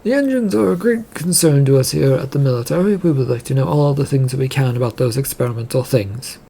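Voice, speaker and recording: A narrator plays an earnest military engineer in a sci-fi audiobook. The delivery is polite and formal, with a husky, creaky edge. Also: mid-range voice, quick pace, dark tone. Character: military engineer leaving a formal recorded message.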